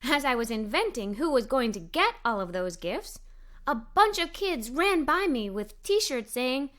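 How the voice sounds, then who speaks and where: A woman's voice: high-pitched, big pitch swings, measured pace, a bit bright. A woman reading a children's story aloud, expressively, as a cheerful young girl narrator. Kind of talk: scripted read-aloud storytelling for kids.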